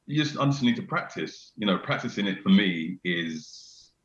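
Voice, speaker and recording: A middle-aged man with a deep bass voice, chatting casually as a guest on a video call, repeating himself and laughing often. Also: animated, normal speed, fairly bright tone. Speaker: venue owner, guest. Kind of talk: casual chat. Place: small room indoors. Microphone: video call webcam. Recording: roomy, dull video-call audio.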